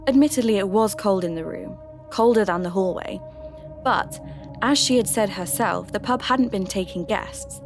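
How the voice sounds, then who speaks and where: A woman playing a radio host turned investigator, giving measured, reflective first-person narration for a scripted podcast drama. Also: mid-range voice, natural voice. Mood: sceptical, measured. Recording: music under the voice.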